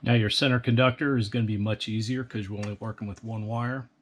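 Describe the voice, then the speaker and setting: A man calmly explaining a hands-on build step by step, in a practical, methodical way. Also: on the low side, quick pace. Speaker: instructor. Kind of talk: step-by-step how-to instruction.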